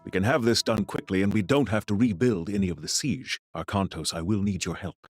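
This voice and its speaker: A man's voice: lowish voice, fairly quick, soft background music, close to an everyday voice. A man playing a shrewd, confident Greek strategist in a game cutscene, assured and persuasive. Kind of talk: video game cutscene acting.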